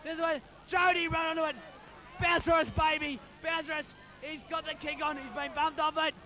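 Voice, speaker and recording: An Australian man co-commentating live on amateur football, excitedly urging the players on in colloquial talk while losing his voice. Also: very high-pitched, a little fast. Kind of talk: partisan live sports commentary.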